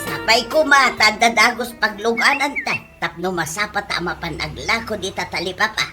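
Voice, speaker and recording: A woman's voice: mid-range voice, moderate pace, music in the background, lightly acted. A woman playing a nagging, protective middle-aged mother in an Ilocano radio comedy drama.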